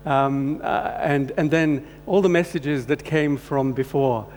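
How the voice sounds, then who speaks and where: A man from Croatia giving a personal testimony sermon as a guest speaker, talking haltingly with many restarts. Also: on the high side, a little mellow. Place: church hall. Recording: long hall reverb, faint hum.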